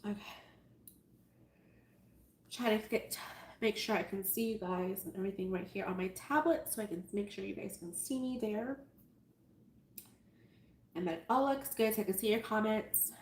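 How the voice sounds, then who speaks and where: A woman's voice: mid-range voice, normal speed, pauses often, a little mellow. A woman with a stuffy, nasal voice hosting a casual live sewing tutorial, chatty and self-amused as she greets viewers. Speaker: sewing livestream host. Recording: echoey room with faint noise.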